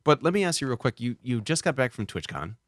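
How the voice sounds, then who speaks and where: A man hosting a streaming talk show, asking questions in a halting casual way with frequent restarts. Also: medium pitch, big pitch swings, no echo. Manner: halting, restarts, laughs easily. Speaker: host. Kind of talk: casual podcast chat.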